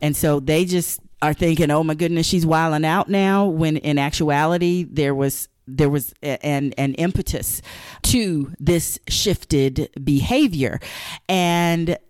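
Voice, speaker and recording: Low, earnest woman explaining. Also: measured pace, darkish tone, clean tone, dry recording, clean studio sound. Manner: earnest, explanatory, repeats for emphasis. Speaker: guest, counselor. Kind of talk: podcast conversation.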